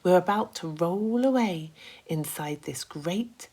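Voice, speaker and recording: Slow, expressive woman reading a storybook. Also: fairly low pitch, husky. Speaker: teacher reading aloud to pupils. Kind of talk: expressive children's storybook narration.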